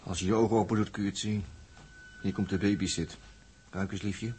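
A man's voice: lowish voice, steady tone, a little fast, darkish tone, lightly acted. An actor playing a dry, teasing spaceship crewman in a 1960s radio play. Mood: wry, calm. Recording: noisy old 1960s radio recording.

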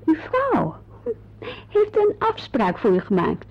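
An actress playing a polite but officious office receptionist in a radio drama, formal and questioning in manner. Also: female voice, high-pitched, wildly swooping pitch, slow pace, gravelly, heavily acted. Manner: formal, questioning, echoes the caller's words. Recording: dull, lo-fi old radio play recording.

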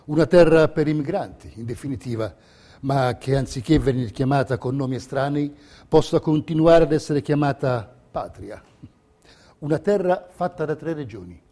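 A man delivers scripted theatrical narration. His voice is soft and mellow, pitched a bit above average. He phrases things like a measured, pointed storyteller. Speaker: stage narrator.

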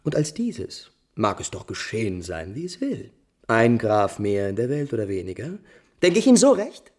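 An actor playing an imperious, anxious, quick-tempered prince in a classical German radio drama, speaking theatrically in abrupt questions and commands. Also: male voice, on the low side, huge pitch swings, darkish tone. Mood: agitated, suspicious.

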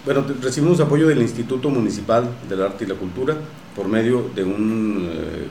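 Low-voiced Mexican man in a roomy space. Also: fairly quick, mellow. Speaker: radio drama producer and guest. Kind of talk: casual interview talk. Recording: roomy echo with faint noise.

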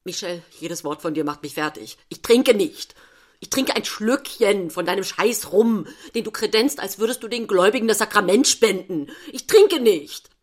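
A woman's voice: medium pitch, expressive, normal speed, close to an everyday voice. An actress playing an indignant, self-righteous, long-suffering wife and mother in a theatrical audio drama, with a bitter, cutting, moralising manner.

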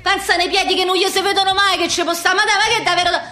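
A woman's voice: very high voice, steady tone, fast, sharp tone. A woman playing a brash, foul-mouthed young street girl with a Roman accent, in a blunt, agitated theatrical monologue. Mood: agitated, indignant.

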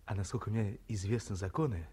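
An actor playing a playful, gallant young bachelor son in a 1950s radio play. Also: male voice, lowish voice, fairly quick, warm tone, slightly rough.

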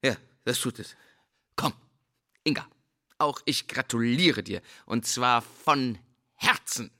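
A man's voice: medium pitch, slow, pushed and bright. An actor playing an agitated, troubled young male teacher in a radio drama, declamatory and given to repeating words. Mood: strained, formal.

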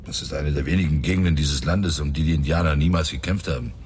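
An actor playing a practical, laid-back detective's sidekick in a radio crime drama, speaking in a matter-of-fact way. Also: male voice, extremely low pitch, fast talker, a little mellow, slight background noise. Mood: reflective.

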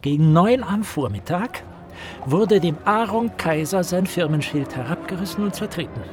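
An obsequious, wordy traveller in a radio drama. His high voice swings widely as he recounts things in meticulous detail and bows to his superior with every phrase. A faint ambient noise sits behind him. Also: expressive, moderate pace. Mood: agitated recounting. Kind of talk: German radio play acting.

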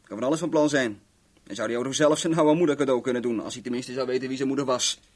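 An actor playing a cocky, talkative young garage owner in a Dutch radio play, rambling and self-assured. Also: male voice, medium pitch, fairly even intonation, quick pace, lightly acted. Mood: frank, offhand.

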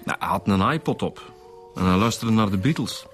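An actor playing a cocky, sardonic police detective in a radio crime drama, with a Flemish accent. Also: male voice, lowish voice, lively intonation, moderate pace, fairly bright tone. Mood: smug. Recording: dramatic music behind the voice.

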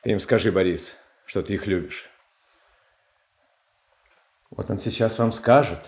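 An actor playing a gentle, trusting, flustered tsar in a classical radio tragedy, hesitant and repeating his phrases. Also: fairly low pitch, measured pace, vocal fry. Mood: anxious reassurance. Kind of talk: classical stage tragedy acting.

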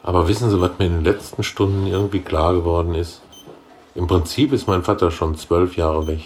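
An actor playing a blunt older factory boss in a satirical radio play, colloquial and dryly wry. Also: male voice, sits low, steady tone, fairly quick, darkish tone, a little hiss or hum, lightly acted. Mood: reflective, blunt.

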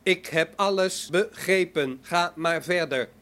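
A polite humanoid robot played by a man in a science fiction radio play. The voice is fairly high for a male and takes its time, with formal, deliberate phrasing and an inquisitive temper. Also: fairly bright tone.

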